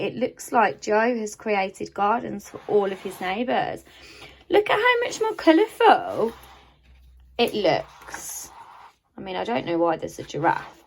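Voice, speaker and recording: Female storyteller for primary-age picture book, mid-pitched, lively intonation, teacherly delivery with deliberate pauses. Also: vocal fry. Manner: expressive storytelling with deliberate pauses and emphasised words. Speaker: primary school teacher reading to pupils. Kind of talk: children's picture book read-aloud.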